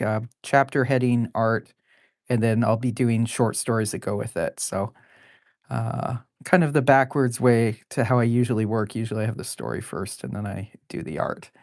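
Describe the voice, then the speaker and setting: A woman in her forties with a low, deep voice, chatting casually while drawing live. She rambles, laughs often, and fills pauses with plenty of ums and uhs. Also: a little mellow, dry recording, studio quality. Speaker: artist drawing live on stream.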